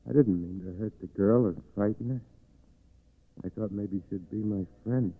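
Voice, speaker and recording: An actor playing a lonely weaver desperate for a friend in a 1940s radio drama, plaintive and confiding. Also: male voice, lowish voice. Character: lonely, pleading male weaver, obsessed with making a friend. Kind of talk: 1940s radio acting, first-person narration and dialogue. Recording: muffled, phone-like 1940s radio recording.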